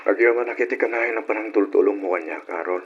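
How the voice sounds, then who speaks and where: Casting: a jilted, forgiving suitor, low earnest voice, radio drama on a muffled, noisy recording. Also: male voice, fast talker, fairly bright tone. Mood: wistful. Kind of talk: Ilocano radio drama acting.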